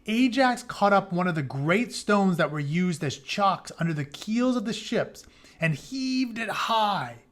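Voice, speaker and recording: A man's voice: sits high, fairly bright tone. A man reading an epic story aloud as a teacher, dramatic in the text and breaking into casual, informal explanations. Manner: dramatic reading broken by plain informal paraphrase. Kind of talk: read-aloud of an epic retelling with casual asides.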